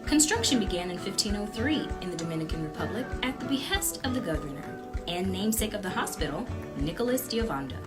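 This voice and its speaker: A woman reading a scripted narration in an even, read-aloud manner. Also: mid-range voice, quick pace. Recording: narration over loud music.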